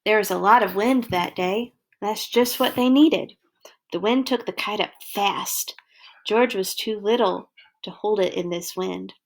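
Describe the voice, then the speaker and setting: A woman storyteller reading a children's story aloud, expressively, with deliberate dramatic emphasis. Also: mid-range voice.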